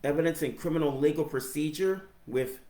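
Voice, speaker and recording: An American man in his forties with a speech impediment, giving a personal reflective narration in halting, deliberate phrases. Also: fairly high pitch, dark tone. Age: 40s. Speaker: higher education professional telling own story. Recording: roomy, echoey sound.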